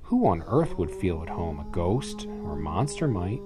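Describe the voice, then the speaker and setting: Deep-voiced, unhurried man reading a storybook. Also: big pitch swings, music in the background. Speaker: storybook reader. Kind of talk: rhyming children's story read-aloud. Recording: clearly noisy added ambient sound effects. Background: sound effects, ambience, animal sounds.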